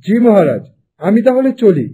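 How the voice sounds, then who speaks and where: A man's voice: high voice, big pitch swings, moderate pace, mellow, husky, dull audio, heavily acted. A man voicing an obedient, determined peasant farmer in a cartoon, earnest and respectful. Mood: dutiful, determined. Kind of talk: Bengali cartoon dubbing.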